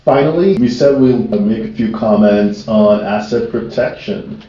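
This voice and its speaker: A man's voice: lowish voice, normal speed, warm tone. A man informally introducing and moderating a panel discussion. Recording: distant mic, echoey noisy room, dull sound.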